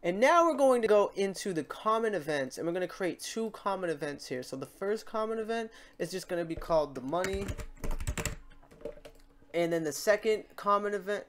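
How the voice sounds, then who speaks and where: A man hosting a casual software tutorial, informal and halting as he thinks aloud. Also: high-pitched, expressive, a little hiss or hum. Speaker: tutorial host. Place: indoors at a computer, keyboard typing behind.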